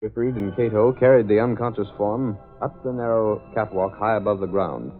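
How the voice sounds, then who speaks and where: A man's voice: fairly low pitch, moderate pace, faint music behind. A man narrating an old radio adventure serial in scripted storytelling style, on a tinny vintage recording. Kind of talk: 1930s-40s radio drama narration.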